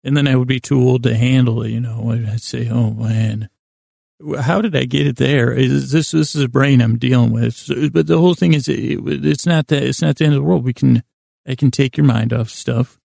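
A man with a heavily creaky, gravelly voice and a mellow, soft-edged tone hosts a bedtime podcast. He meanders through a self-deprecating monologue, pausing and circling back in a lulling way. The recording is close and dry. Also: mid-range voice, fairly even intonation, no room sound. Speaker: podcast host.

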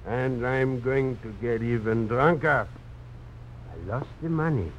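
An actor playing a reckless, bitter drunken gambler, mocking and loose-tongued, in an old radio drama. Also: male voice, mid-range voice, lightly acted. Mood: defiant. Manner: slow drunken delivery. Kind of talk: 1950s radio drama acting. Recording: dull, noisy old radio broadcast recording.

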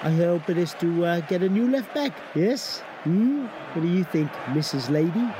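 A tactless, demanding male football coach with very lively pitch. Crowd noise is clearly audible around him. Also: normal speed. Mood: needling. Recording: noisy crowd behind.

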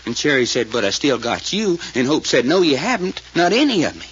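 Muffled old-radio sidekick, high and excitable. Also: male voice, fairly quick, slight background noise. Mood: earnest recounting. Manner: drawling, folksy, earnest. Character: folksy, excitable country-talking male detective sidekick. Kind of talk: 1940s radio drama acting.

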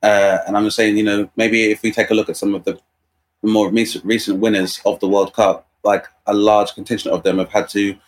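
Low-voiced man, fast and wry, podcast panelist. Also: level tone, low-quality recording. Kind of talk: casual discussion.

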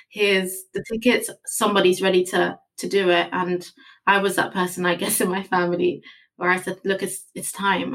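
A woman's voice: medium pitch, fairly bright tone, low-quality recording. A young woman earnestly telling a personal story in a casual conversation.

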